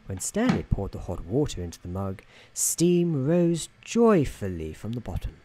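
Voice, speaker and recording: A man acts out a soothing, whimsical male narrator for a storybook-style ASMR roleplay. His voice is soft and warm, at a middling pitch, with lively rises and falls. He is measured and droll, with a gently patronising touch. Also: a little hiss or hum.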